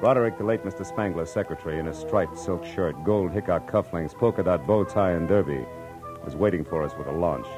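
A man playing a wry, hard-boiled private detective in a 1940s radio drama, narrating in a sardonic deadpan. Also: deep voice, fairly quick, music in the background, close to an everyday voice. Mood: wry narration. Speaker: lead and narrator. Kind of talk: 1940s radio acting, first-person narration. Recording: vintage 1940s radio recording.

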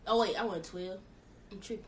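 A woman's voice: mid-range voice, fairly quick, recorded from a distance, a crowd in the background. A woman guest on a casual podcast, rambling and correcting herself, blunt in tone. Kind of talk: casual chat.

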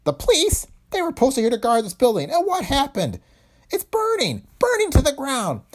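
A wiry older factory owner rants at everyone in a shrill, piercing voice. His words jump high and stay loud as he scolds. It has the feel of a pulp storybook reading. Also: very animated, moderate pace, exaggerated voice. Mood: ranting. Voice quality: shrill, ranting.

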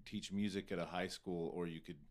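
Deep-voiced American man interviewing casually. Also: steady tone, a little fast, a little hiss or hum. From Arizona, US. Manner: laid-back slangy talk peppered with "like". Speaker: podcast host and interviewer.

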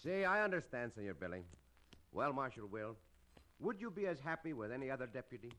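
An actor playing a confident, gallant cowboy hero with measured, formal phrasing in an old-time radio western. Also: male voice, mid-range voice, sing-song, sharp tone. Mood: warm, wry. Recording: hissy old radio recording.

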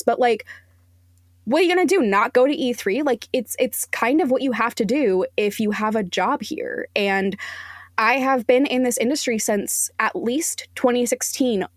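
A woman's voice: mid-range voice, dry recording, studio quality. A woman speaking as a podcast guest in a conversational interview, earnest, emphatic and articulate.

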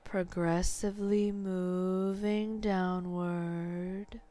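Slow, soft-spoken woman guiding sleep hypnosis. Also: on the low side, flat delivery. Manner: soft, slow, soothing, partly whispered. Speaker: hypnotherapist host.

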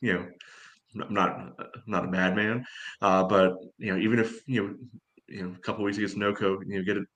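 A man's voice: lowish voice, level tone, fairly quick, a bit bright. A man co-hosting a soccer podcast in casual discussion, rambling and often dropping "you know" into his sentences. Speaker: soccer fan podcast co-host.

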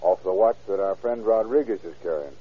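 An actor playing a wry, sharp-eyed American lawman, the grumbling partner in an old-time radio crime drama. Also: low voice, a little fast, breathy edge. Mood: inquisitive. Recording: muffled, noisy vintage radio recording.

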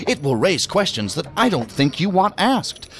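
An actor playing a shrewd task force agent who speaks formally and pointedly in a science fiction audio drama. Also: male voice, sits high, very animated, normal speed. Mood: firm, veiled threat. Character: firm, shrewd government task force agent, coolly threatening. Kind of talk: sci-fi audio drama acting. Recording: music behind the voice.

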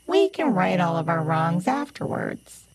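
A woman's voice: lowish voice, big pitch swings, a little slow, husky, heavily acted. A woman voicing a jolly, grinning male pumpkin trickster who talks in a coaxing, persuasive way. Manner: sing-song, coaxing.

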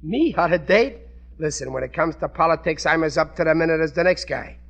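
An actor playing a naive, self-important American working-class husband in an old radio comedy, blustery, folksy and ungrammatical. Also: male voice, on the high side, brisk, creaky, a bit bright. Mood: stubborn, dismissive. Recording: vintage radio recording with studio audience.

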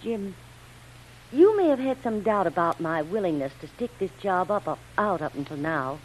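An actress playing an earnest, determined young assistant in a vintage radio melodrama, with dramatic, emotive delivery. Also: female voice, fairly low pitch, big pitch swings, moderate pace. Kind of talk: 1930s-40s radio melodrama acting. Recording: faintly noisy old radio recording.

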